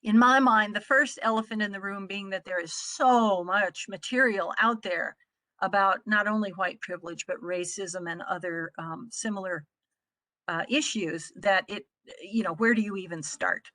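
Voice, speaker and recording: A middle-aged American woman from the Midwest giving an explanatory presentation as a trainer, speaking in a measured, articulate way. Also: medium pitch, sharp tone, dry recording. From Midwestern United States. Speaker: trainer presenting on a conference call.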